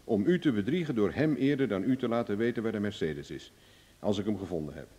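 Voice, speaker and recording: Cocky private investigator, radio play, lively intonation, ironic, glib, long reverb. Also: male voice, fairly low pitch, fast, breathy edge. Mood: wry, amused. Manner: glib, ironic, self-assured. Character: cocky, cool-headed male private investigator, wry and bargaining. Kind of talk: Dutch radio drama acting.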